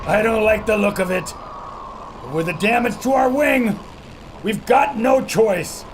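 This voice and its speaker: Man as brash, high-pitched daredevil pilot. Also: measured pace, poor audio. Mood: wary, grumbling. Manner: swaggering, folksy, shouting in action. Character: cocky daredevil male pilot, brash and combative. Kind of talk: 1930s radio adventure serial acting. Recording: loud aircraft noise behind the voice.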